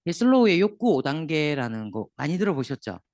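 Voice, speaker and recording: A man with a hoarse, sore throat lecturing a room of business owners, joking and asking the audience questions as he goes. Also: on the high side, brisk, no echo. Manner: lively, jokes with the audience, asks questions. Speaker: seminar lecturer.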